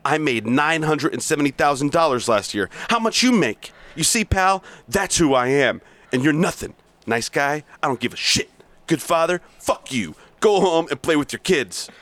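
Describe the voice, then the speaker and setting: A man's voice: fairly high pitch, expressive, normal speed, fairly bright tone, no echo, voice pushed up. A man reading aloud as a brash, abusive male sales boss, berating his staff and swearing, at times stumbling over the script. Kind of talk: amateur reading of a scene.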